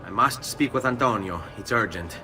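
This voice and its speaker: Actor as low-voiced determined young Italian assassin. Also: male voice, normal speed, distant mic, over music, close to an everyday voice. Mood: urgent. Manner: serious, terse. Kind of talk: dramatic video game acting.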